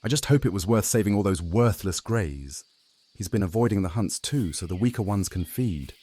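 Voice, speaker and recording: A man playing a composed, courteous, secretive vampire officer in a fantasy audio drama, measured and formal. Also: lowish voice, animated, warm tone, no echo. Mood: earnest.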